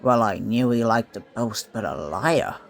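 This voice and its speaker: Nosy pirate drinking buddy, croaky, slow talker, gets indignant, audio drama. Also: male voice, on the low side, animated. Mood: intrigued. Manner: inquisitive, old seafaring phrasing. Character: curious, indignant pirate tavern companion. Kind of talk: audio play acting.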